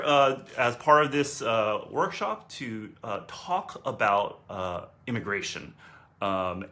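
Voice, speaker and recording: Hesitant American man with a bright voice. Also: fairly low pitch. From United States. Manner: hesitant, many "uh" and "um" fillers and restarts. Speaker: theologian, workshop speaker. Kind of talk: recorded workshop talk.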